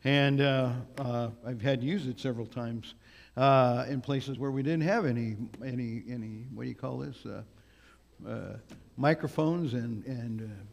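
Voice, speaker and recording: A man with a big voice preaches at a church. He talks loosely, with many fillers and restarts. His voice has a gravelly edge, and the hall rings with long reverb. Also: medium pitch, darkish tone. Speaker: guest preacher. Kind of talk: sermon. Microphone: microphone on a sound system.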